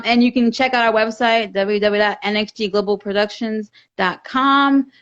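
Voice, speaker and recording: A woman, creator and director of a series, hosting a casual interview and promoting her show, laughing easily. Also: medium pitch. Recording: dull lo-fi audio.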